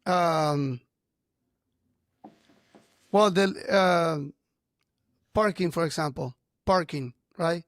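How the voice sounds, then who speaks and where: A man hosting an interview, a native Spanish speaker who talks haltingly with many "right?" checks. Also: on the high side, dry recording, clean studio sound. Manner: halting, frequent "right?" and "you know". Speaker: podcast host. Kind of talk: interview conversation.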